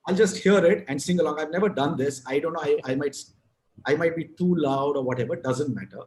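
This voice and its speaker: A man with a South Indian accent talks frankly over a video call, circling back and restarting his sentences and swearing now and then. His voice sits a little high for a man. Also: fairly quick, darkish tone, a little hiss or hum. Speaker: guest, advertising writer and author. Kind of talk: casual conversational interview.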